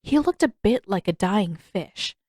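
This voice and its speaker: A woman's voice: mid-range voice, expressive, unhurried, a little mellow, no echo. Woman narrating fiction, close and dry. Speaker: audiobook narrator.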